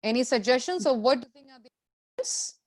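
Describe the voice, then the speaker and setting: A woman's voice: fairly high pitch, lively intonation, fast. A woman teaching a scripture class to a group, explaining in a didactic way with repeated phrases and rhetorical questions.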